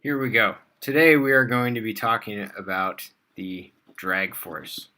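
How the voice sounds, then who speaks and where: A man's voice: lowish voice, measured pace, bright, forceful tone. A man teaching physics on a casual livestream, chatting with fillers and laughing at himself as he tries out beginner Spanish. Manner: chatty, rambling, with frequent fillers.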